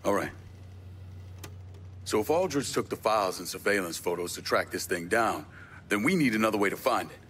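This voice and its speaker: Hard-nosed action lead, deep-voiced and blunt, curses readily, faint ambient noise. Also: male voice, expressive, a little fast, pauses often, a bit bright. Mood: focused. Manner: blunt, businesslike, swearing. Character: tough, blunt, foul-mouthed man of action. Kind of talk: video game cutscene acting.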